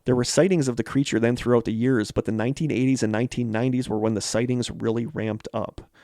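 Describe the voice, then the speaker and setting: Male American podcast co-host, mid-pitched, conversational, reads notes between casual asides, dry recording. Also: fairly even intonation, fast, mellow, no room sound. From Wisconsin, United States. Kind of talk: casual chat mixed with reading prepared notes.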